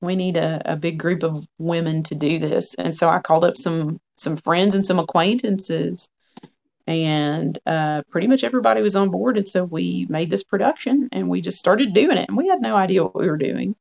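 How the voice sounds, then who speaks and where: Gravelly-voiced man in his forties. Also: high-pitched, moderate pace. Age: 40s. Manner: frank, earnest, quotes own past thoughts. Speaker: podcast guest. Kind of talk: conversational storytelling.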